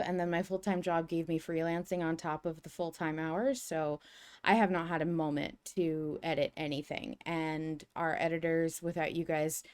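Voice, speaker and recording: A woman hosting a podcast in a casual, conversational style. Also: on the low side. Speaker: podcast host.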